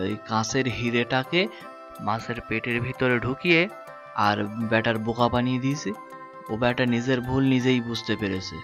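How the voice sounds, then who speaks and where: Bumbling good-hearted boatman, male actor, cartoon dialogue, mid-pitched, music underneath. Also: lightly acted. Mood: pleased, muddled. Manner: explanatory, stumbling over words. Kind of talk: Bengali cartoon story dubbing.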